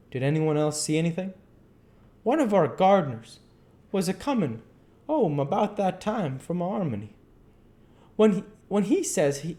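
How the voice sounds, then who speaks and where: High-pitched man reading a novel, lively intonation. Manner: stumbles and repeats phrases while reading. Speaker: amateur audiobook reader. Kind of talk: reading a classic novel aloud.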